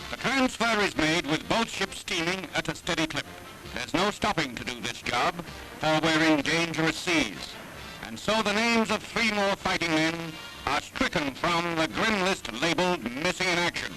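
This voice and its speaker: High-pitched American man, 1940s newsreel narrator. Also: big pitch swings, normal speed, creaky, bright, forceful tone, poor audio. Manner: dramatic, declamatory. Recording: archival 1940s newsreel, music behind.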